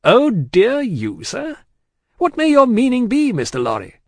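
Casting: big self-important man of business acted by a male reader, blustering and forceful, dry close sound, classic novel dialogue. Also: big pitch swings, moderate pace, breathy edge, no room sound, full character voice. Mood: pompous. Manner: booming, pompous, forceful exclamations.

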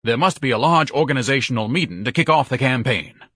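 A man's voice: mid-range voice, lively intonation, fast, a bit bright, slightly rough, no echo, clean studio sound, voice pushed up. A man voicing a pompous, bombastic scholar with a solemn, orator-like way of talking and florid diction, in a storybook narration. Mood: bellowing, excited. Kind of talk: audiobook character acting.

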